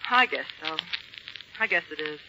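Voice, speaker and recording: A woman's voice: sits low, expressive, moderate pace. An actress playing a guarded, nervous young woman being blackmailed, in an earnest, melodramatic 1940s radio style. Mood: wary, evasive. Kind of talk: 1940s radio drama acting. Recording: noisy, crackly old radio recording.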